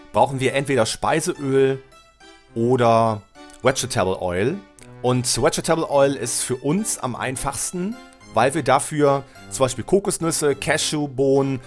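A middle-aged man with a head cold narrates his gameplay in a chatty, easygoing way, talking straight to the viewer. His voice sits lower than usual from the cold. He thinks out loud and catches his own slips, speaking into a headset mic at home with faint music behind him. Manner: chatty, thinks aloud, self-correcting, addresses viewer. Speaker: Let's Play host. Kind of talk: casual gameplay commentary. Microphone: headset mic at desk, webcam.

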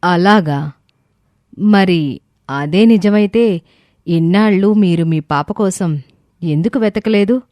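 A cautious, compassionate orphanage director, acted by a woman in an audio moral story. She is measured and polite, with a soft-toned, mellow voice and a dry recording. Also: on the low side, no room sound. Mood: questioning. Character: cautious, questioning, compassionate female orphanage head.

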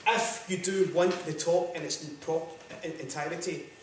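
A man's voice: on the high side, steady tone, normal speed, a little hiss or hum. A man with a Scottish accent giving a public lecture, chatty and jokey, often saying "right, okay.". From Scotland. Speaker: guest lecturer. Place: large lecture hall, standing at podium. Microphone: far camera. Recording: echoey hall from far off.

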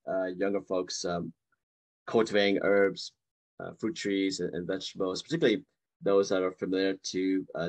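A man in his forties, born in Vietnam, answering audience questions on a video call from a room at home, hesitant with many fillers and restarts. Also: deep voice. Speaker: guest speaker. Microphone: video call webcam.

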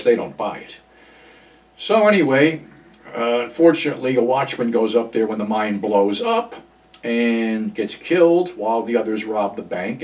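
An older man casually recapping a horror film in a home office, rambling with lots of ums and uhs and some blunt swearing. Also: mid-range voice. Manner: rambling, frequent ums and uhs, frank and profane. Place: small home office with computers, indoors. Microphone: far camera, seated at desk. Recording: voice from some distance off the mic.

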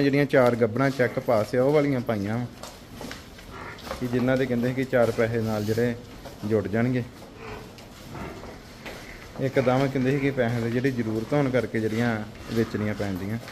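A man with a Punjabi accent, walking through a dairy shed, asking a farmer about prices and pitching the buffaloes to viewers in a casual sales chat. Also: fairly even intonation, noisy background. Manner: brisk, asks prices then pitches to viewers. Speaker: livestock dealer showing buffaloes for sale. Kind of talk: casual on-site sales walkthrough.

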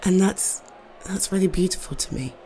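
A British woman playing an affectionate, slightly shy girlfriend in an intimate roleplay, speaking softly and hesitantly to her partner. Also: fairly low pitch, natural voice. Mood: fond, playful. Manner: soft, hesitant, tender, with halting repeats.

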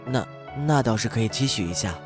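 A man playing an earnest, easily flustered high-school boy in an amateur campus audio drama. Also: expressive, brisk, music in the background, close to an everyday voice. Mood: defiant.

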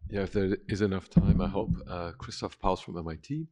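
Man, very low voice, physicist, audience comment, hesitant, handheld mic, lecture hall. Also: a little fast, warm tone. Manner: hesitant, frequent "uh". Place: lecture hall seating, standing.

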